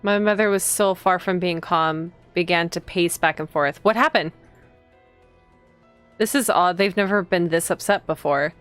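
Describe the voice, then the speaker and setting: A woman's voice: on the low side, normal speed, a bit bright, clean tone, faint music behind. A woman reading visual novel text aloud as a streamer, expressively, with casual reactions to the story.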